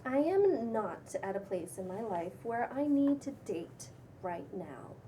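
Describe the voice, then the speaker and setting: A woman's voice: medium pitch, sing-song, measured pace, dark tone. A woman playing a trendy, breezy young Los Angeles woman with an affected manner in a table read of a pilot script. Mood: earnest. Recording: distant microphone with faint room noise.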